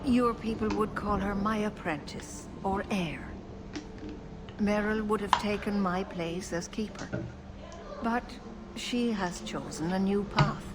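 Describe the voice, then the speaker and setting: Grave elven matriarch for a fantasy game, played by an actress: stately, unhurried delivery, formal and resigned, noisy background. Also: female voice, mid-range voice, natural voice. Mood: solemn. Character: solemn elven clan leader woman, grave and resigned. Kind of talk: fantasy video game acting.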